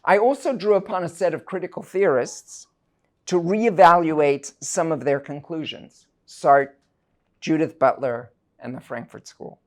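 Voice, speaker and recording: A middle-aged man from South Africa, a university professor delivering a prepared lecture in a measured, deliberate, scholarly way. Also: fairly high pitch. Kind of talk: prepared academic lecture, read aloud.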